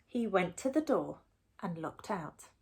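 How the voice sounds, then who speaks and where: A woman reading a picture book aloud for young children in an expressive, deliberate storytelling way. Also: lowish voice, creaky, husky. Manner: animated, deliberate, with asides to the listeners.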